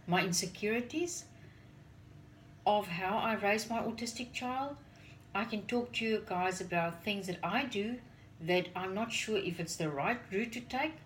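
A woman's voice: medium pitch, a little hiss or hum, low-quality recording. A woman in her forties, a native Afrikaans speaker, talking earnestly to a phone camera in her home kitchen while doing chores, often repeating words as she searches for them. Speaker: parent vlogger. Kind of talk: casual talk to camera. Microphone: phone camera on the counter.

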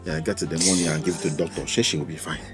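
An actor with a Nigerian accent playing a doting, soft-hearted boyfriend in a film drama, coaxing and repeating himself. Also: male voice, rumbling low, fairly even intonation, racing, music in the background. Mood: soothing, pleading. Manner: coaxing, repetitive, punctuated with "eh". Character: doting, soft-hearted boyfriend soothing his crying woman.

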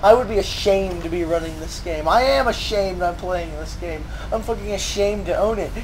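A woman's voice: on the low side, a little mellow, slight background noise, low-quality recording. A woman gaming creator delivering an angry, emphatic, repetitive rant full of swearing over gameplay. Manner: exasperated, profane, emphatic, repetitive.

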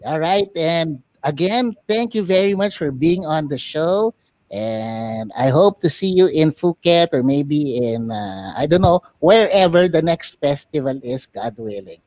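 A man's voice: a little high, fairly bright tone, a little hiss or hum. A man hosting a casual interview show, interjecting with repeated encouragement. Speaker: talk show host.

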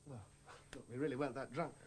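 A man's voice: mid-range voice, steady tone, quick pace, slight background noise, lightly acted. An actor playing an awkward man who speaks earnestly and hesitantly.

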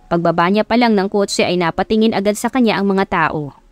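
A woman's voice: mid-range voice, fairly quick, dry recording, faint music behind. A woman with a Filipino accent reading scripted narration for a romance audiobook. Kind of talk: scripted narration of a Tagalog love story.

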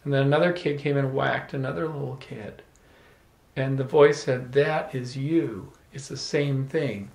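Gravelly man telling a story in an echoey room. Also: medium pitch, unhurried. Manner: animated, playful storytelling with laughs. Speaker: salon speaker. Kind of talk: storytelling to a small audience. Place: room with small live audience. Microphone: handheld microphone.

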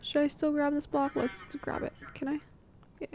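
A young woman doing casual gameplay commentary, muttering to herself with quick exclamations. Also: high voice, monotone, crowd noise. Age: young adult. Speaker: streamer playing a video game. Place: small indoor room. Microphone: close desktop microphone. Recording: clear game noise behind voice. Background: game sound effects and vehicles.

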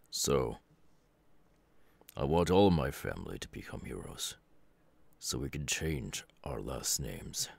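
A man playing a stern, weary middle-aged superhero father in an ASMR roleplay, with a deliberate, halting delivery. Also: extremely low pitch, vocal fry, breathy edge, no room sound, exaggerated voice. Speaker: voice actor.

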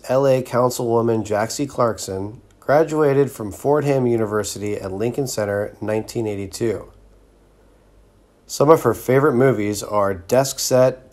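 A man narrating a scripted biography, reading facts in a steady, list-like way. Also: medium pitch.

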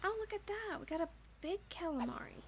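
High-voiced woman musing through a game. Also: animated, a little fast, a little hiss or hum. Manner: musing aloud to herself. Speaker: streamer playing a farming game. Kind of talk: casual let's play commentary. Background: game music.

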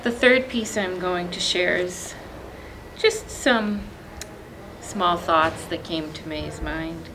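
A woman in her thirties reading literature aloud as a librarian, with measured, deliberate phrasing and pauses. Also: on the low side, fairly even intonation, noisy background. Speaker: librarian presenting a poetry reading. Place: indoor library, seated among bookshelves.

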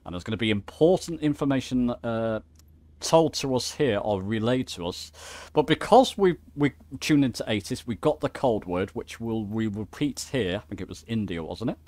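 A man's voice: medium pitch, animated, normal speed, a little mellow, no echo. A man giving a casual tutorial, talking informally, thinking aloud and correcting himself as he goes. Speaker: flight simulator tutorial host. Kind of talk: casual instructional screen-share commentary.

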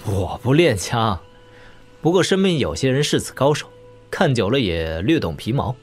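Young gentleman martial artist for audio drama: courteous, understated confidence, medium register, varied intonation. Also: mid-range voice, very animated, moderate pace, slightly rough, soft background music. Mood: polite, modest. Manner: polite, understated, wry. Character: courteous, easygoing young nobleman, quietly confident swordsman.

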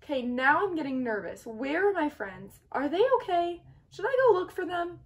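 A woman's voice: high voice, normal speed, voice pushed up. A woman voicing an anxious, bossy young egg in a picture-book read-aloud, fretful and dramatic. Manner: dramatic, fretful, commanding, expressive. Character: anxious, bossy, earnest young egg leading his friends.

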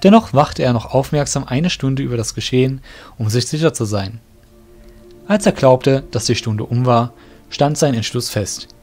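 A man narrating a fantasy audio drama in an even, storytelling manner. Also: medium pitch, normal speed, faint music behind.

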